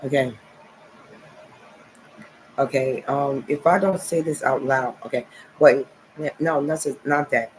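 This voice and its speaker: Man, 40s, from New Jersey; repetitive, rambling solo vlog, mid-pitched voice over faint background noise. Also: measured pace, long pauses, rough recording. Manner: rambling, repetitive, filler "okay" and "nice". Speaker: vlogger. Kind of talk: rambling monologue to camera.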